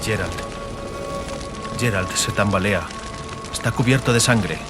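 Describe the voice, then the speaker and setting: A man narrating a fiction audiobook dramatically, in solemn, measured short phrases. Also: lowish voice, loud music behind the voice.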